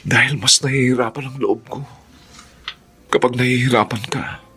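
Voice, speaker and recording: A man playing an earnest, patient, devoted young suitor in a Filipino radio drama, speaking gently and reassuringly. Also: mid-range voice, steady tone, moderate pace, faint music behind, lightly acted. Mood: tender confession.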